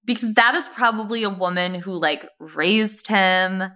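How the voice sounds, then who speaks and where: A woman's voice: mid-range voice, unhurried, pushed and bright. A woman co-hosting a podcast in casual, opinionated chat, blunt and emphatic, repeating phrases and swearing freely. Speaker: podcast co-host.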